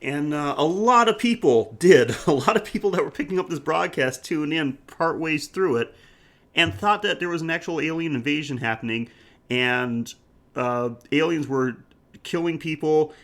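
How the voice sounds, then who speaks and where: A man's voice: on the high side, moderate pace. Casual, jokey man co-hosting a podcast. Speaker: podcast co-host. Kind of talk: casual film discussion chat.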